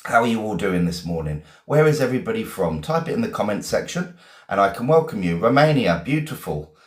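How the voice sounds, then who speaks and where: An English man, a teacher from Essex, hosts a live lesson and chats with his viewers, greeting them one by one with plenty of "um"s. His voice sits low. The room has a noticeable echo. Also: sing-song, fairly quick. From England, Essex. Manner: chatty, greets viewers one by one, many "um" fillers. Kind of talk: casual live-stream lesson.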